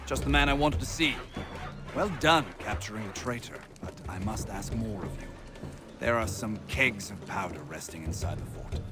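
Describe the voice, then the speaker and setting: An actor playing a treacherous, self-important major general who speaks in a measured, formal, commanding way in a video game. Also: male voice, lowish voice, over music, natural voice. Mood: calculating, commanding. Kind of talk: video game drama acting.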